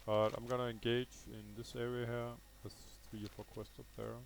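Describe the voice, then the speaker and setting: A man's voice: mid-range voice, flat delivery, moderate pace, gravelly, slight background noise. A man with a Danish accent, a native Danish speaker, gives casual unscripted gameplay commentary, thinking aloud hesitantly and correcting himself as he goes. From Denmark. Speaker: gaming video host.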